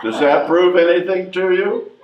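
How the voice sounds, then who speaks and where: A man's voice: high-pitched, normal speed, lightly acted. A man playing a self-assured, easily baffled talking baby in a readers theatre stage performance. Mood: cocky. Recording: muffled, echoey hall, distant microphone.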